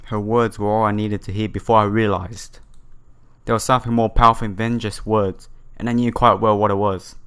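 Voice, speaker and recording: A man's voice: fairly low pitch, flat delivery, normal speed, fairly bright tone, smooth. Man with a sore throat reading aloud. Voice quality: slightly broken, sore-throated voice. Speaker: let's player reading a visual novel aloud. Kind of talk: casual playthrough, reading game text with side comments. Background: game music.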